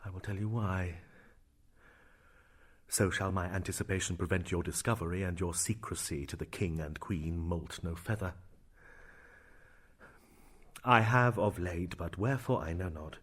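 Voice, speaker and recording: A man acting a low-voiced brooding Shakespearean prince. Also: breathy edge. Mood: melancholy. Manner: theatrical, measured, with hushed asides. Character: brooding, sardonic young prince feigning madness. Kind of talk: Shakespearean stage acting in verse and prose.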